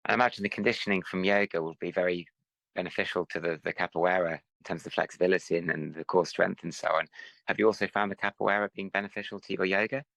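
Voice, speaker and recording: A man hosting a podcast interview, welcoming his guest and asking polite, measured questions. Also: sits low, steady tone, vocal fry, a bit bright, no room sound, rough recording. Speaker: podcast host. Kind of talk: interview host.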